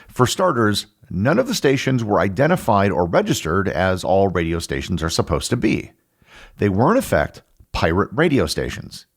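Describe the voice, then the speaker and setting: A middle-aged American man hosting a podcast, reading scripted educational narration in a measured, explanatory way. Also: deep voice, very animated. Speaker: podcast host.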